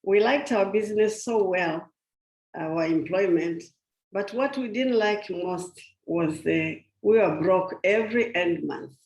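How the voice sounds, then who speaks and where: Slow, gravelly older woman on an echoey call. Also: medium pitch, a little mellow. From Tanzania. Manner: slow, earnest storytelling. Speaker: guest speaker. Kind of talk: personal testimony talk. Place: remote video call. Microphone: video call.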